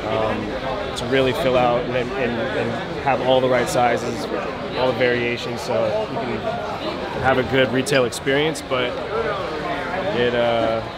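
A man's voice: medium pitch, measured pace. A young man who owns sneaker stores, talking casually and plainly to camera about buying stock at a convention. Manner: relaxed, matter-of-fact, explains business plainly. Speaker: sneaker store owner and buyer. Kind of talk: casual vlog talk to camera. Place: indoor sneaker convention hall. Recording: loud crowd noise in a big hall. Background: crowd chatter.

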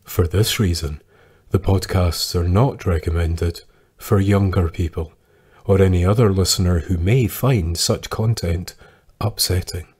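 A man narrating a scripted history podcast in a deliberate storytelling way, with dramatic pauses. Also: low voice, fairly even intonation, a little mellow, husky.

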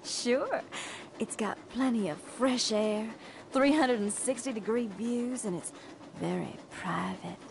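An actress playing a sultry, playful femme fatale in a cartoon, coy and teasing in manner. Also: female voice, fairly high pitch, slow pace, vocal fry, noisy background. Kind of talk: cartoon acting. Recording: music behind cartoon dialogue.

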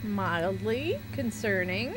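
A woman's voice: mid-range voice, lively intonation, takes it slowly. A woman streamer giving casual reaction commentary on a horror video.

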